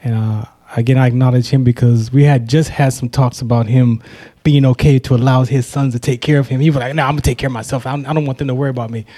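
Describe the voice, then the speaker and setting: A man hosting a radio interview show, giving shout-outs and chatting casually with his guest. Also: medium pitch. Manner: warm shout-outs, quick excited reactions. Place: radio station studio.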